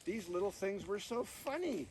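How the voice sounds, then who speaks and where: A man reading his storybook aloud to listeners outdoors, with expressive telling and chatty asides. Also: sits high, normal speed. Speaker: author reading from own book. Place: outdoors on a mountain. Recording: distant mic, noisy outdoor recording.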